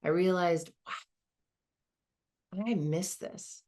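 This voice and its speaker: A woman's voice: fairly low pitch, drawn-out words, darkish tone. An actress playing a modest woman law school dean, giving a reflective, conversational monologue with small hesitations. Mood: appreciative, reflective. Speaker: performer of a dramatized narrative.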